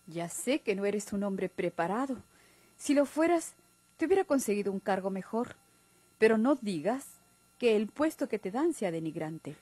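An actress playing a bossy, proud sweetheart with a Mexican accent, emphatic and commanding, in old radio drama style. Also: female voice, mid-range voice, very animated, normal speed, dark tone, no room sound. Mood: encouraging, firm. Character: bossy, encouraging, proud sweetheart of a timid young man.

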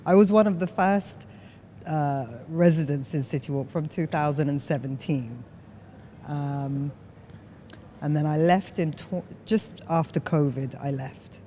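Low, mellow-voiced woman host. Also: measured pace, slight background noise. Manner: chatty, laughs often, fills with "like". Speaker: podcast host. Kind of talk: conversational interview. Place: office lounge podcast set. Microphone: boom-arm studio mic.

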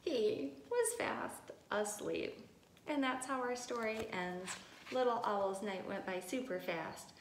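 A woman, a preschool teacher, reading aloud and talking to young children with simple, expressive phrasing. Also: medium pitch, slow. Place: indoor room with tiled wall. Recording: echoey room with noticeable hiss.

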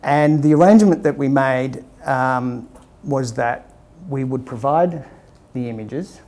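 Australian founder in his forties, warm relaxed tone, chatty storyteller at a small talk. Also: mid-range voice, slow pace. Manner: relaxed anecdotal storytelling with chuckles. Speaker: startup founder giving a talk. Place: small meeting room with a screen. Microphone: lapel mic.

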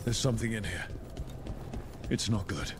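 A man's voice: on the low side, moderate pace, background noise, natural voice. A man voicing a grim, resolute fantasy adventurer in a video game. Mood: grim, focused. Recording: game audio under loud battle music.

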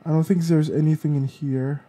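A man's voice: fairly high pitch, steady tone, moderate pace, dark tone. A sniffly, congested man giving casual gameplay commentary, muttering to himself as he plays. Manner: muttering to himself while playing. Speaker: let's play gamer.

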